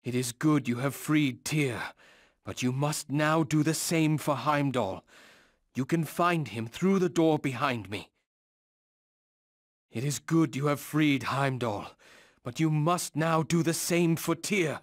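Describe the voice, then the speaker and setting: An actor playing a proud, noble Norse warrior god in a video game, speaking formally and solemnly in archaic phrasing. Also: male voice, a little high, slow, no room sound, clean studio sound. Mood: urgent, grave. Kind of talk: scripted fantasy game dialogue.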